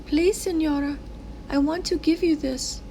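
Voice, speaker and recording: A woman voicing an earnest, expressive young girl in a children's storybook. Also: high voice, a little slow, a little hiss or hum, voice pushed up. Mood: eager. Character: excitable young girl selling her music box.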